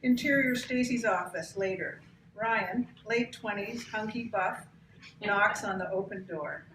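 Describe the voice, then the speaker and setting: A woman's voice: mid-range voice, a little slow, fairly bright tone. A woman, the author, hosting a live table read and narrating the stage directions. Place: cramped bookstore, live event. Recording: very echoey room, distant mic, clear noise.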